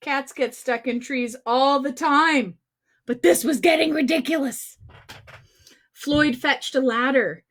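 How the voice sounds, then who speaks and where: High-pitched, playful woman storyteller for children. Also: slow, a bit bright. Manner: playful, exaggerated expression, addressing children directly. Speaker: children's storyteller and singer hosting a livestream. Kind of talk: animated read-aloud and chat for young children.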